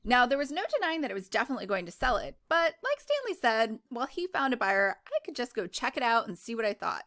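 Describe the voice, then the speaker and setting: A woman's voice: on the high side, sing-song, quick pace, fairly bright tone, no room sound, studio quality. A woman reading a first-person novel aloud in a casual, informal way, sometimes stumbling and correcting herself. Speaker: book club host reading a novel aloud.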